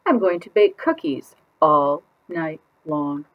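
A woman voicing a stubborn, defiant little girl from a picture book, emphatic and drawn-out in a sing-song way. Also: sits low, lively intonation, muffled recording. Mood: gleeful, determined.

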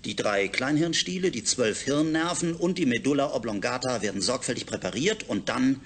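An actor playing a clinical scientist in a radio drama, explaining technical matters precisely and instructively. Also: male voice, medium pitch, animated, moderate pace, a bit bright, a little hiss or hum, close to an everyday voice. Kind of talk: German science fiction radio drama acting.

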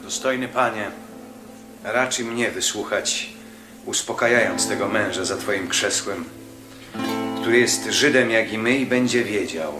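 A man's voice: lowish voice, music in the background, lightly acted. An actor playing a stern male apostle who speaks firmly and earnestly in a radio play. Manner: firm, earnest, measured.

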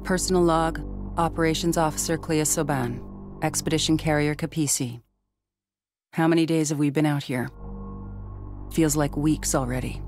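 An actress playing a weary but hopeful operations officer recording a personal log, reflective, measured and earnest. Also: female voice, deep voice, steady tone, a little mellow, faint music behind, natural voice. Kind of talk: video game personal log monologue.

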